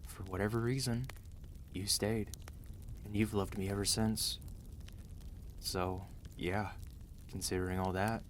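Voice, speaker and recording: A man's voice: lowish voice, slight background noise, natural voice. A man playing a weary, protective mafia boss husband in an intimate ASMR roleplay, speaking tenderly and earnestly, as if confiding. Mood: tender, remorseful.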